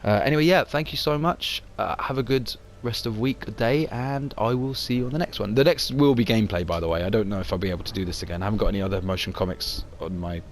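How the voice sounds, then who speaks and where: A man's voice: lowish voice, fast, hardly any pauses, a little hiss or hum. Upbeat rambling young man. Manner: upbeat, rambling with many fillers and restarts. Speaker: channel host. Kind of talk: casual outro to camera.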